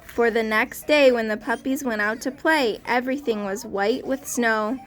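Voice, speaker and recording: A woman teacher reading a storybook aloud to young children, expressive and pausing to ask questions. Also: a little high.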